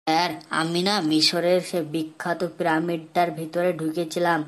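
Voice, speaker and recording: A woman's voice: lowish voice, flat delivery, normal speed, lightly acted. Woman acting a cheeky, matter-of-fact cartoon schoolboy. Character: cheeky schoolboy telling tall tales to teacher. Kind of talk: comic cartoon dub.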